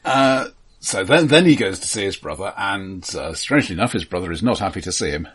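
A man casually recapping a film as a podcast co-host, halting and wry. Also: low-pitched, expressive, a bit bright, husky.

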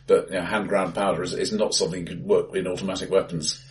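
Lower-voiced man, off the mic. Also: little pitch movement, fast talker. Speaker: podcast host. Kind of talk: casual film discussion. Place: untreated, acoustically bright room, face to face. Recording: distant mic in bright echoey room.

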